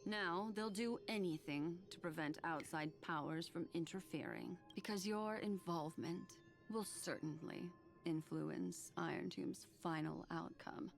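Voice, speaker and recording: Composed, low-voiced enigmatic woman. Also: gravelly, faint music behind. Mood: grave, explaining. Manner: measured, formal, deliberate. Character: composed, scholarly, enigmatic female memory keeper. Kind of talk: scripted video game dialogue.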